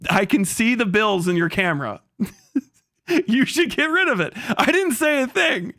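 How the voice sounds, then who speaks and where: A man's voice: high-pitched, animated, moderate pace, pushed and bright, dry recording, studio quality. A man livestreaming a casual rant in a blunt, sarcastic, heavily profane way. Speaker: live streamer.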